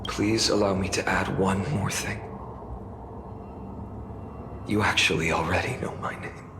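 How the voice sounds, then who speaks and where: An actor playing a solemn, mysterious wandering swordsman in a video game, speaking gravely, courteously and with deliberation. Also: low-pitched, fairly even intonation, unhurried, noisy background. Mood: earnest. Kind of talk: video game voice acting and narration. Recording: echoey, long reverb, music behind.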